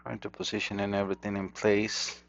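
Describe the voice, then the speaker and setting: A man's voice: fairly low pitch, monotone, brisk, vocal fry, a bit bright. A man from Costa Rica with a Costa Rican Spanish accent, a native Spanish speaker, thinking aloud as he works through a hands-on electronics repair at his bench. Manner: muttering to himself while working, informal. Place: repair workbench indoors. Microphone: action camera mic. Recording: dull lo-fi action camera audio.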